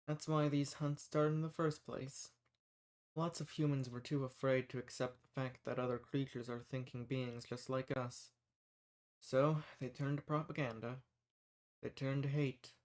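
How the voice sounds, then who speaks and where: A man's voice: medium pitch, lightly acted. A man playing a kind, folksy human rebel who earnestly reassures and shelters monsters in a scripted audio roleplay. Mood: earnest, indignant. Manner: folksy, reassuring, earnest, uses y'all and ain't. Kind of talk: scripted audio roleplay, speaking softly to the listener.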